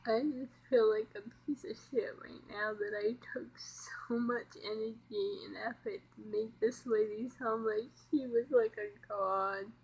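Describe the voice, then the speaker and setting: Upset, husky-voiced middle-aged woman. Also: fairly high pitch, fairly even intonation, a little slow, hardly any pauses, rough recording. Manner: upset, rambling, keeping quiet so as not to wake others. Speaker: vlogger. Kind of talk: emotional personal confession. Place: home at night.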